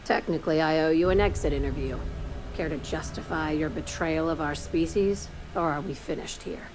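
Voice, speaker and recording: Casting: woman as icy game boss, low voice, sardonic and clipped, quietly threatening, faint noise behind. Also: moderate pace, lightly acted. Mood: cold anger. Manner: dry, clipped, menacing. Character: curt, paranoid female boss, cold and sardonic. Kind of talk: video game voice acting.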